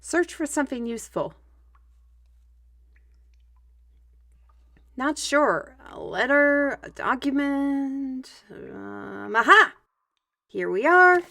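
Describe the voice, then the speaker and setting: A woman playing a young, learned squire in an audio roleplay, explaining things in an animated, chatty manner. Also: high voice, takes it slowly, vocal fry, full character voice. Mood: eager.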